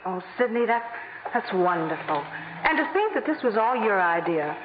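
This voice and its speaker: A woman's voice: medium pitch, sing-song, moderate pace, roomy sound, lightly acted. An actress playing a wry, devoted working girlfriend with an American accent in 1950s radio comedy style. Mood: touched. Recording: tinny, noisy old radio broadcast.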